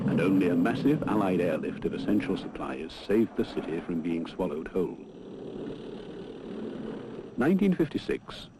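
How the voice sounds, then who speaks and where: A low-pitched British man narrates a documentary film from a prepared script. The recording is an old film soundtrack with clearly audible noise around the voice.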